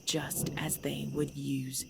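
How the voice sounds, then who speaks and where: A woman playing a centuries-old female vampire clan leader in an ASMR audio drama, composed and commanding, speaking in measured, formal phrases. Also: deep voice, level tone, creaky, full character voice. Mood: grave. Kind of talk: ASMR audio roleplay acting. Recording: background noise with chirping crickets.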